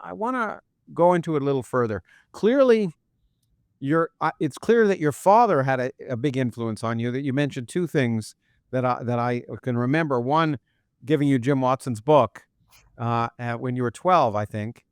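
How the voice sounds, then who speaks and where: Halting man hosting a podcast. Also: mid-range voice, lively intonation, clear, resonant tone, no room sound, clean studio sound. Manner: halting, many restarts and repeated words. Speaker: podcast host. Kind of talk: interview podcast, intro then conversation. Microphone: remote interview setup.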